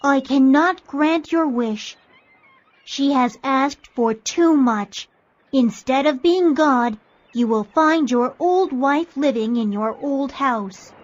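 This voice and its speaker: A woman's voice: sits high, slow. A woman voicing a stern, exasperated magic talking fish in a children's storybook, with an emphatic scolding delivery. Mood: stern refusal. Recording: dull lo-fi audio.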